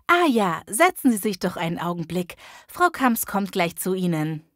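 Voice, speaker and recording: A woman acting a friendly, helpful character in a scripted language-learning dialogue, enunciating clearly. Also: fairly low pitch, big pitch swings, moderate pace, no room sound, studio quality.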